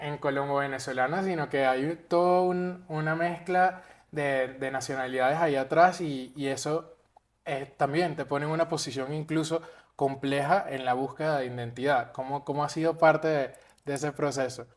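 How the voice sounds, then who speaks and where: A man's voice: a little high, steady tone, moderate pace. A man hosting a podcast interview, affirming his guest and asking broad, open questions. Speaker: podcast host. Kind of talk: interview conversation.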